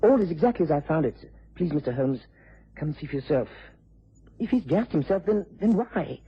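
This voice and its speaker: An actor playing an earnest, agitated young upper-class British nephew in a vintage radio drama. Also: male voice, on the high side, animated, moderate pace, slightly rough, lo-fi sound, heavily acted. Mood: shocked, urgent. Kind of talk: 1950s-60s British radio drama acting.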